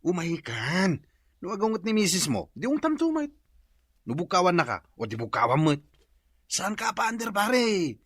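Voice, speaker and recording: Tipsy boastful husband, highish voice, swooping pitch, slurred grunts, slack, radio comedy drama. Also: male voice, sing-song, moderate pace, a bit bright, husky, no echo, clean studio sound, full character voice. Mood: boastful, teasing. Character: hard-drinking, boastful husband and father, slack and unrepentant.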